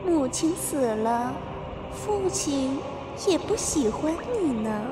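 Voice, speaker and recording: Costume audio drama villainess: cruel gloating sister, swooping sing-song taunts, long reverb over music. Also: female voice, high voice, animated, slow pace. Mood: mocking, gloating. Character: cruel, gloating younger sister of noble spirit blood.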